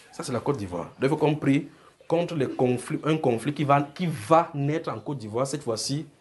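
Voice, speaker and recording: A man with a Francophone African accent preaching prophetic visions off the cuff, repeating phrases and checking that his listeners follow. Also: medium pitch. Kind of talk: extempore prophetic sermon to a congregation.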